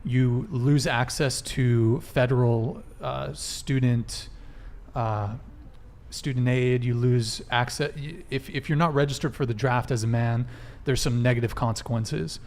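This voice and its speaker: A man's voice: medium pitch, fairly even intonation, measured pace, darkish tone. A young man hosting a live-streamed panel chat, wired and rambling, swearing often as he reads out donations. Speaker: host.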